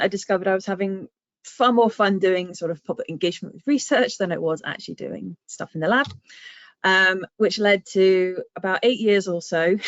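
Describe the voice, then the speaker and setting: A woman's voice: fairly low pitch, no room sound. A woman from southern England with a southern English accent, giving a chatty webinar talk with lots of ums over a video call. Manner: chatty, frequent ums, self-deprecating asides. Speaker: guest speaker, public engagement manager. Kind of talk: webinar presentation. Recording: dry, muffled video-call audio.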